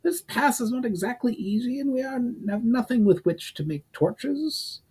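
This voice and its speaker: A man's voice: very high voice, animated, moderate pace, husky, voice pushed up, heavily acted. A man voicing a firm, argumentative military officer in a dramatic fantasy reading. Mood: defiant. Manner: forceful, argumentative.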